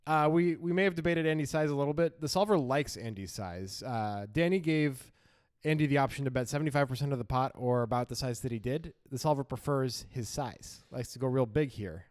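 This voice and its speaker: A man's voice: medium pitch, a little fast, a little mellow, no echo. Male podcast co-host, wry and casual, mid-range voice, close dry studio sound. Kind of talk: casual hand analysis commentary.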